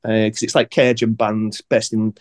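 A man's voice: lowish voice, level tone, moderate pace, darkish tone. A man chatting casually about music in a rambling, hesitant, slangy and sweary way. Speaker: podcast guest selector.

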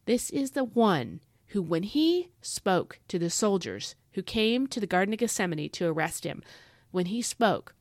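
A woman's voice: mid-range voice, sing-song, unhurried, no room sound, clean studio sound. A woman with a stuffy head cold hosting a devotional podcast, earnest and emphatic, repeating phrases for stress, chatty with fillers and asides. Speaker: podcast host.

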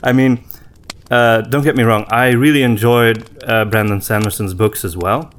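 A man co-hosting a podcast in casual conversation, reflective and often pausing to search for his words. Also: medium pitch, fairly even intonation. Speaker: podcast co-host.

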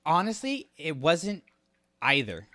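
A man's voice: a little high, huge pitch swings, takes it slowly, bright, forceful tone, no room sound, studio quality. A man co-hosting a podcast, discussing stories in a casual, opinionated, conversational way. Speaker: podcast co-host.

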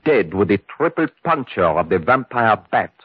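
A man's voice: lowish voice, very animated, breathy edge, dry recording. An actor playing a grave, superstitious Frenchman with a French accent, telling ominous tales in old radio horror style. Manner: ominous, deliberate storytelling. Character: grave, superstitious French villager warning of a vampire. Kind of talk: 1940s-50s radio horror acting. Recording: muffled old radio broadcast.

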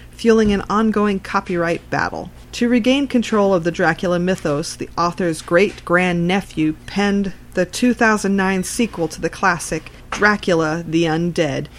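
Female narrator reading an article. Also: fairly low pitch.

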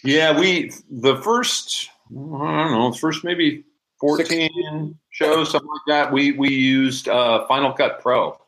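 A man's voice: a little high, slow, a bit bright, low-quality recording. A man chatting casually as an interview guest, thinking aloud in a folksy way. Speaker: interview guest, audio drama producer.

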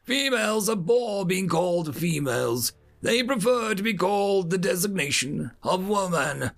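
A man voicing a calm alien military educator who lectures cadets in a measured, formal way in a sci-fi story. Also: high-pitched, gravelly, pitched above the performer's own voice, exaggerated voice. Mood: calm lecturing. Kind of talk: acted dialogue in sci-fi story narration.

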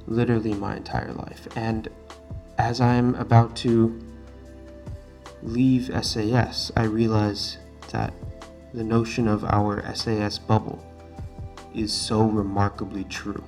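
Earnest teenage podcaster, gravelly low voice. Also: male voice, level tone, slow pace, a little mellow, soft background music. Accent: American-sounding, not Singaporean. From Singapore. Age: late teens. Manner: earnest, emphatic, repeats key phrases. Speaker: student podcast host. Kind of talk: solo podcast monologue.

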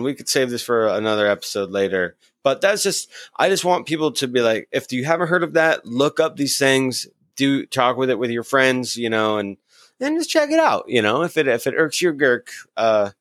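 A man co-hosting a podcast, talking in an animated, jokey, rambling way. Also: mid-range voice, moderate pace, dry recording, studio quality. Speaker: podcast co-host. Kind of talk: casual podcast talk.

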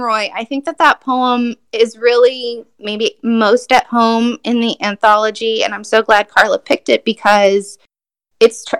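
A woman's voice: on the high side, fairly bright tone, clear, resonant tone. A woman poet from Brazil giving a reflective, thoughtful interview answer, with many fillers and restarts.

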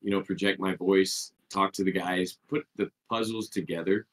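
A man's voice: sits low, fairly even intonation, moderate pace, low-quality recording. A man speaking as a podcast guest, answering earnestly and rambling a bit, often tagging his points with "right?". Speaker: podcast guest, team player. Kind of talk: casual interview answers.

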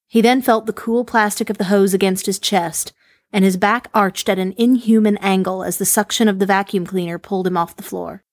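Lady reading a spooky story, steady, dry sound. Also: mid-range voice, brisk, no room sound. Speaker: story reader.

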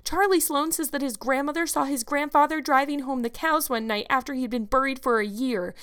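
Woman voicing an eager, high-voiced, imaginative young girl. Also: fairly quick, fairly bright tone. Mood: earnest, insistent. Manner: effusive, dramatic, breathless storytelling.

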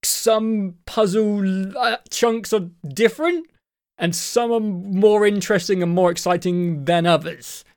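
A man co-hosting a casual podcast about games, his voice croaky because his throat won't clear, rambling along with restarts and the odd swear. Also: high voice, a little slow, darkish tone. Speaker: podcast co-host. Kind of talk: casual chat.

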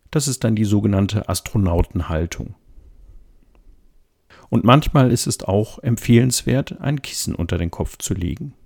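A man calmly giving an introduction to a body scan meditation, speaking slowly and gently. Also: on the low side, creaky. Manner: slow, gentle, soothing. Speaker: meditation guide.

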